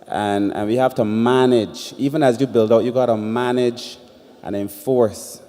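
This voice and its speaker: Mellow-voiced man chairing a meeting. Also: medium pitch, fairly even intonation, measured pace. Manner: firm, speaks of order and enforcement. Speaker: chair of a public consultation. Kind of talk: chairing a town hall meeting. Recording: echoey hall.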